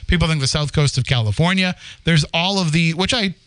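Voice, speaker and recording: A man's voice: on the high side, brisk, fairly bright tone, smooth, dry recording. A man in his forties, originally from the Boston area, hosting a podcast and telling its story in a chatty, rambling way. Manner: storytelling, chatty with frequent restarts and laughs. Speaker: podcast host. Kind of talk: casual chat.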